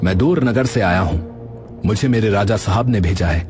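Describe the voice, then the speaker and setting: Earnest, low-voiced young food seller played by a man. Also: moderate pace, over music, close to an everyday voice. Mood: polite, earnest. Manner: polite, earnest, reassuring. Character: kind, polite young rajma-rice seller helping others. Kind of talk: Hindi cartoon dubbing.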